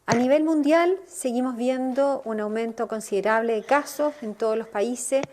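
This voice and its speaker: A woman's voice: on the high side, moderate pace, darkish tone. A woman giving a press briefing, hesitant and full of fillers, in an archive clip.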